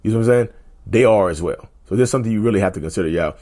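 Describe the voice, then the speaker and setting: A man's voice: fairly low pitch, racing, dark tone. Casual man talking to viewers. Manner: conversational, repeats himself, often addresses viewers as "y'all". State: voice getting hoarse. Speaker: mental health video host. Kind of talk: casual direct-to-camera talk.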